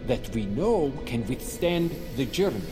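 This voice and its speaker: A man's voice: mid-range voice, sing-song, a little slow, music in the background. A man playing a grandiose, smug professor who commands a secret project in an audio drama. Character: grandiose, coldly proud male Russian professor leading a secret launch.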